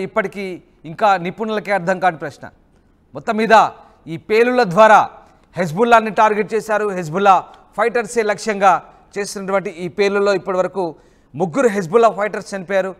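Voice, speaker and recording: A male news anchor commenting on footage in a dramatic, urgent manner, often posing rhetorical questions. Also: high-pitched.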